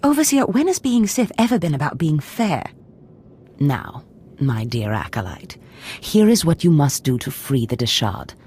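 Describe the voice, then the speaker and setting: A woman's voice: low voice, very animated, normal speed, darkish tone, breathy edge, full character voice. An actress voicing a scheming female Sith lord who speaks in a languid, commanding way. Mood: imperious. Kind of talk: game voice acting.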